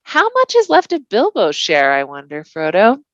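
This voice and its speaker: A woman's voice: medium pitch, wildly swooping pitch, moderate pace, no room sound, highs cut off. A woman voicing a cheerful, teasing young male hobbit who coaxes his companions, in a storybook. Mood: amused, curious.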